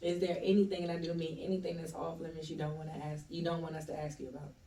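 A woman interviewer asking quick, playful questions in a casual chat. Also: deep voice, level tone, warm tone, a little hiss or hum. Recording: roomy, distant from the mic.

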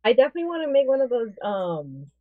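A woman's voice: fairly high pitch, sing-song, fast, dry recording. A woman co-hosting a podcast, telling casual anecdotes in a loose, informal way over a narrow, tinny connection. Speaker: podcast co-host. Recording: narrow, tinny phone-like line.

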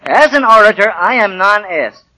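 A man's voice: high voice, lively intonation, moderate pace, pushed and bright, full character voice, low-quality recording. A male actor playing an earnest, self-important husband in a 1940s American radio comedy, stammering and fussy, turning declamatory when he makes a speech. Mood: pompous. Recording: vintage 1940 radio broadcast recording.

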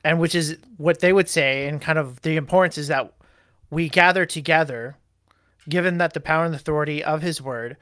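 A man co-hosting a church study show, explaining a confession in a halting, deliberate way with fillers and self-corrections. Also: on the high side, fairly bright tone, no room sound, studio quality. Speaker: co-host discussing a church confession. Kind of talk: Bible study discussion show.

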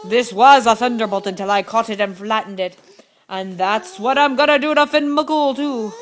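A huge, gluttonous bully of a male giant from a storybook, acted out by a woman. He bellows his boasts and threats in a blustering manner. There is clear noise over the recording. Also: a little high, moderate pace, close to an everyday voice. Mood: menacing, boastful. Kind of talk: animated storybook character voice.

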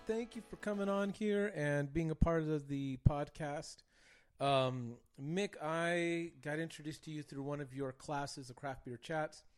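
A man's voice: on the high side, unhurried, soft background music. A man hosting a casual beer podcast, chatty and loose, with plenty of fillers. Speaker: podcast host.

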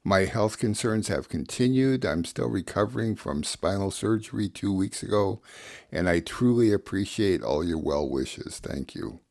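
A man hosting a radio show, reading scripted links and summaries in a gravelly voice. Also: deep voice, animated, moderate pace, a little mellow.

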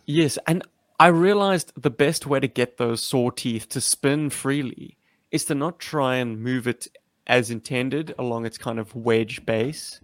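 Jokey slangy guy chatting about toys, mid-pitched voice, very dry sound. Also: measured pace, no echo. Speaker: podcast host and toy collector. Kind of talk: casual chat about action figures.

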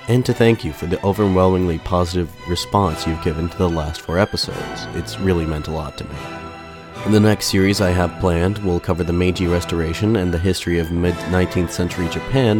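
A man hosting a podcast, narrating history in a measured, formal way, his voice slightly off after a mild illness. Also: low voice. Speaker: podcast host.

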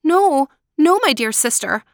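A woman's voice: high voice, big pitch swings, measured pace, no room sound, studio quality, voice pushed up, exaggerated voice. A woman voicing a meek, polite young girl who speaks in an earnest, pleading way in a storybook. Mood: anxious, pleading.

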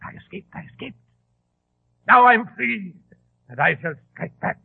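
A grandiose, unhinged pretender in a vintage radio drama. The high voice is creaky and husky, agitated and given to ranting repetition. The sound is narrow, like an old broadcast. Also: male voice, expressive, measured pace, dry recording, full character voice. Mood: ranting, aggrieved. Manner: agitated, repeats himself, ranting. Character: deranged English pretender to the throne, nervous, vain, grandiose. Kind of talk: 1940s radio acting. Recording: tinny, band-limited old radio broadcast.

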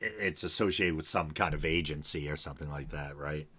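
A man's voice: very deep voice, steady tone, fairly quick, pushed and bright, husky. A man hosting a casual chat, laughing easily and asking follow-up questions.

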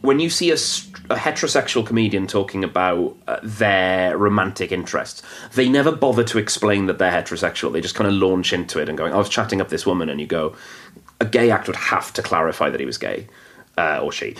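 Male comedian, Welsh first language, deep voice, chatty and self-deprecating podcast guest. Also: lively intonation, brisk, a bit bright. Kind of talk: casual podcast chat.

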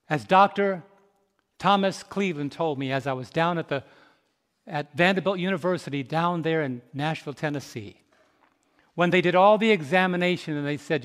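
A man in his fifties with a high voice preaches to his congregation, tossing questions out for them to answer. He drops to a slow, quiet hush and then climbs into loud, ringing exhortation. His words hang in the long reverb of a large hall. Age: 50s. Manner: swings from soft, slow reflection to loud, rising exhortation. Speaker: pastor. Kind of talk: sermon.

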